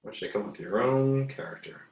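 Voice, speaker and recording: A man giving a casual, unscripted tutorial as an art teacher, haltingly thinking aloud as he works. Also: medium pitch, darkish tone. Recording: echoey, roomy sound.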